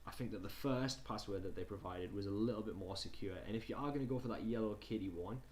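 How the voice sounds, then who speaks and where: Male online tutor, lowish pitch, conversational and hesitant, reads then comments, noisy recording. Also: quick pace. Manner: hesitant, conversational, repeats himself. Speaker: tutor presenting a digital skills session. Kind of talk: informal online lesson, reading slides aloud and commenting. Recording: clear background noise.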